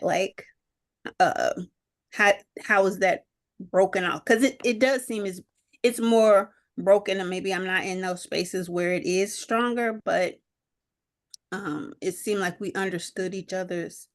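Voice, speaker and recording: A woman on a video call, thinking aloud in a group discussion, with many pauses, fillers and restarts. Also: fairly low pitch. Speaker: discussion participant.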